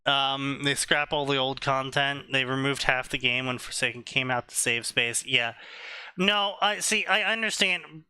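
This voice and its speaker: A man co-hosting a casual stream, talking slowly and deliberately, often checking "right?". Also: medium pitch, bright, forceful tone, clean tone, no room sound, poor audio. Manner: explanatory, slow and deliberate, asks "right?" often. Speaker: stream co-host.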